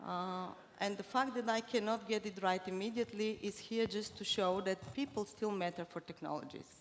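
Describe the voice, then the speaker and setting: A middle-aged woman from Bulgaria gives a conference talk in English, her second language. She speaks at a middle pitch, pausing often on fillers and restarting her sentences. Her voice carries through a large hall with a long reverb and faint noise underneath. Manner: hesitant, many fillers and restarts. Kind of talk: conference presentation.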